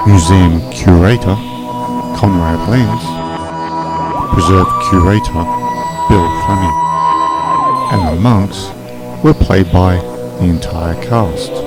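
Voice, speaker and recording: A man reading out cast and crew credits as a scripted announcement for a radio play. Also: low voice. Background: loud electronic music.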